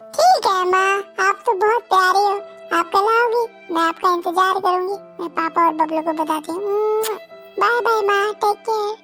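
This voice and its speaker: A woman doing a tearful little girl's high voice. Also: bright, forceful tone, heavily acted. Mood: hopeful. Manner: pleading, sobbing, slow. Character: small tearful girl pleading with her dying mother. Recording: faint music behind.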